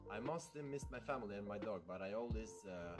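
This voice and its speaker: A man from Albania, a native Albanian speaker working in IT software, answering interview questions hesitantly with many pauses. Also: on the low side, animated. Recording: music playing loudly behind.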